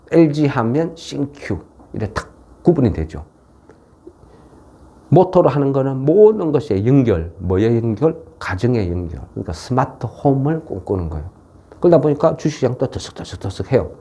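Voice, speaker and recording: A man's voice: medium pitch, dark tone. A man with a regional, non-Seoul South Korean dialect giving an informal explanatory market lecture in a folksy way, often tagging on rhetorical questions. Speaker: stock market commentator.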